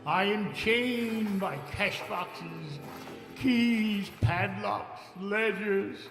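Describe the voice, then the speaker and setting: A tormented, ominous male ghost for a radio-style stage play, echoing through a long reverb. He declaims in drawn-out, mournful phrases and wails. Faint music sits behind him. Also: high-pitched, heavily acted. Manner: slow, declamatory, wailing, drawn-out delivery. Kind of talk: radio-style stage audio drama acting.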